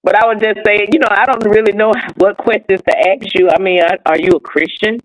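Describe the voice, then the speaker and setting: A woman's voice: mid-range voice, steady tone, brisk, rough recording. A woman, co-hosting a casual live-stream chat and asking simple questions while checking the chat. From North Carolina. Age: 50s. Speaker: guest co-host.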